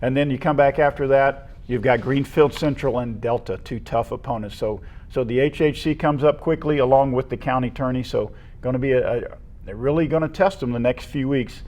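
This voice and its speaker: A man's voice: mid-range voice, a little fast, a little mellow. An American man co-hosting a casual discussion about sports. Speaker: co-host.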